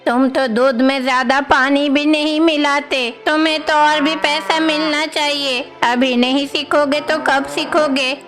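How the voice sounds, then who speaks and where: A woman's voice: high-pitched, little pitch movement, a little slow, few pauses, a bit bright, soft background music. A woman voicing a concerned village grandmother who scolds and questions as she advises, in a cartoon story. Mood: scolding concern. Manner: admonishing, questioning.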